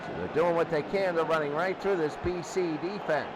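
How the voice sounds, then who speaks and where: A man's voice: on the high side, fairly quick. A man doing live play-by-play football commentary as a radio announcer, restating the action in short bursts. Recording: very noisy, loud stadium crowd bed. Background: crowd roar.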